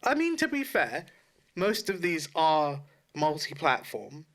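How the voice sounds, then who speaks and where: A man with a fairly high, very bright and forceful voice chats as a podcast co-host. His delivery is blunt and slangy, with swearing mixed into casual talk. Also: huge pitch swings, slow pace. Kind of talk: casual podcast chat about video games.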